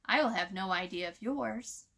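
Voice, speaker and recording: A woman voicing a prim, sharp-tempered little doll made of twigs, snappish and indignant, in a storybook reading. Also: mid-range voice, expressive, normal speed, vocal fry, heavily acted.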